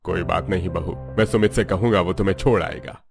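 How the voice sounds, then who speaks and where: A man voicing an older, reassuring father-in-law with calm authority in a cartoon. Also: low-pitched, brisk, creaky. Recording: background music.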